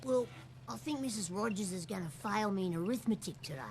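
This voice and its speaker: A woman voicing a cheeky, matter-of-fact, foul-mouthed little schoolboy in an animated comedy. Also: mid-range voice, a little fast, slight background noise, lightly acted.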